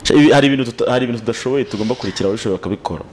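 Extremely fast-talking Rwandan man. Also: mid-range voice, a little mellow, a little hiss or hum. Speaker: sports show co-host. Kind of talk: casual sports discussion. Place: small TV studio, indoors.

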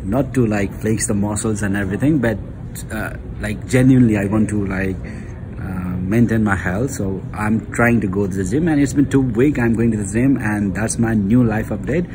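A man's voice: fairly low pitch, normal speed, background noise. A young man in his twenties with a Nepali accent, chatting casually in a vlog, full of fillers like "like" and "uh", filmed on a phone held at arm's length. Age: 20s. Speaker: vlogger. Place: college gym and campus halls, indoors. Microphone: phone camera at arm's length.

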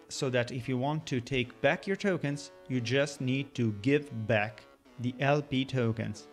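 A man narrates a screen-recorded tutorial in a measured, explanatory way. His voice sits at an ordinary middle pitch. Soft guitar music plays faintly underneath. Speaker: crypto channel host. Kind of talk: scripted voice-over explainer with screen walkthrough. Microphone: voice-over over screen recording.

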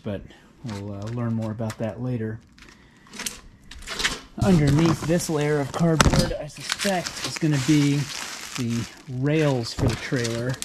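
A man casually narrating as he unboxes sawmill equipment in his garage, explaining each piece as he goes with relaxed fillers. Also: mid-range voice, heavy background noise.

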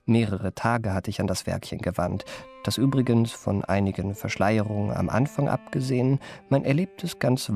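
A smug gentleman swindler, acted by a man tells his memoirs in a radio drama. His mid-pitched voice has some gravelly fry, his phrasing is ornate and pompous, and faint music plays behind him. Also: lightly acted. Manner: ornate, pompous, self-admiring. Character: urbane, self-satisfied gentleman impostor recounting his memoirs. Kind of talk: radio play first-person narration.

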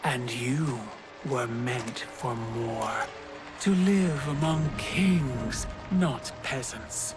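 An actor playing a mysterious male tempter who speaks in a calm, measured, seductive way, in a video game cutscene. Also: mid-range voice, over music. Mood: persuasive.

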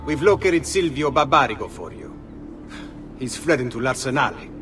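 Male actor as Venetian schemer, animated, theatrical, faint music behind, video game. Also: mid-range voice, moderate pace, lightly acted. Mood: eager, welcoming. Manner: effusive, theatrical. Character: effusive, scheming Venetian ally, theatrical and welcoming.

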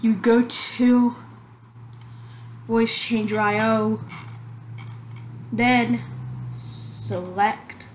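A woman narrating a screen-recorded software tutorial, halting and correcting herself as she goes. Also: a little high, steady tone, a little hiss or hum. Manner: slow, halting, self-correcting. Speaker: tutorial maker.

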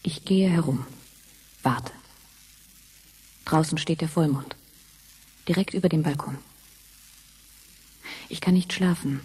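An actress playing a dreamy young woman in a radio drama, speaking a hushed, breathy inner monologue with pauses. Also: sits low, full character voice. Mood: dreamy, searching. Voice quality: breathy, husky. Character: dreamy young woman, test subject of a time-jump experiment. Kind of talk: German science-fiction radio drama monologue. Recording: long reverberant hall echo.

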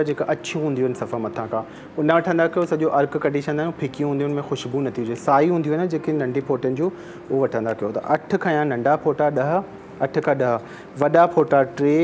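A man's voice: a little high, brisk, a little mellow, a little hiss or hum. A man, explaining a recipe step by step as a TV cooking show chef in a studio kitchen. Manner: instructive, repeats steps, switches between Urdu and Sindhi. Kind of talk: cooking demonstration.

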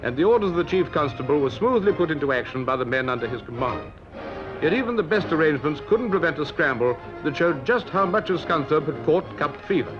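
A man's voice: on the high side, lively intonation, fairly quick. A British man reading scripted narration as a reporter on a 1950s newsreel. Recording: dull 1950s newsreel soundtrack. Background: music.

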